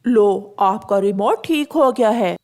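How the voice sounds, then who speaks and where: A woman's voice: mid-range voice, animated, slow pace, darkish tone, voice pushed down. A woman voicing a plain-spoken male pigeon uncle, a shopkeeper in a children's cartoon. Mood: matter-of-fact. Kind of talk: Hindi children's cartoon story.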